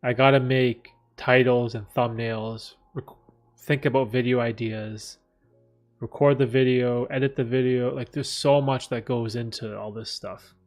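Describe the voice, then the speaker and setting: A man at a mid pitch talks loosely to his viewers, circling back on himself and padding his thoughts with fillers. He sits in a room with a bookcase behind him, and faint music hums under his voice. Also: steady tone, a little slow. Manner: rambling, many fillers and restarts. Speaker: livestream host answering viewer questions. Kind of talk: casual livestream Q&A.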